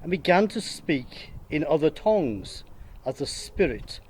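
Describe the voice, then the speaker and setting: A man reading a Bible passage aloud in church in a measured, deliberate way. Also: on the high side, sing-song, darkish tone, slight background noise. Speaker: Bible reader.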